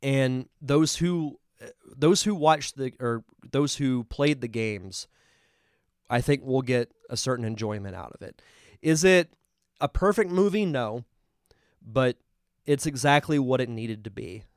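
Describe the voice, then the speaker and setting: A man's voice: medium pitch, lively intonation, a little slow, clear, resonant tone, no echo, studio quality. A man with a congested voice hosting a live podcast, chatting casually and enthusiastically as he reviews a film. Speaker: podcast host.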